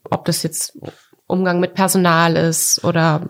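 A woman in her forties from Coburg, a guest speaking in a relaxed interview, thoughtful and hesitant, with many fillers. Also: fairly low pitch, measured pace. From Germany, Coburg. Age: 40s. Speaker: podcast guest, art collector.

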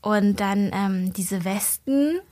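A woman in her thirties, a podcast guest, telling lively stories in a casual chat and laughing often. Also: medium pitch, slow pace, dry recording. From Germany, Berlin.

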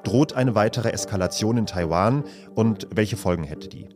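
A man hosting a news podcast, narrating a scripted report in a calm, measured way from an office. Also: lowish voice, over music. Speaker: podcast host.

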